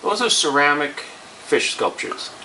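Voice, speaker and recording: A man's voice: fairly high pitch, sing-song, measured pace, creaky, pushed and bright, a little hiss or hum, rough recording. An older man in his sixties explaining things casually as a shop owner, in short matter-of-fact statements. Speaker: gallery shop owner, interviewee. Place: small gallery shop indoors. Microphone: handheld camera a few feet away.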